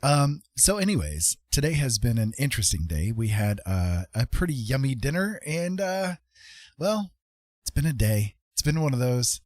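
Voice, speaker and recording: A man hosting a casual livestream, chatting to viewers in a rambling, playful, husky voice. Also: medium pitch, sing-song, moderate pace, a little mellow, dry recording, clean studio sound. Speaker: livestream host. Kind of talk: casual chat to live viewers.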